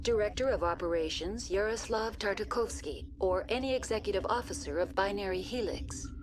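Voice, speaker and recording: Woman as formal, impassive facility computer, mid pitch. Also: a little fast, crowd noise, natural voice. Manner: formal, precise, emotionless. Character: literal-minded, impassive female virtual intelligence facility assistant. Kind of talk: video game dialogue. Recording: music behind the voice.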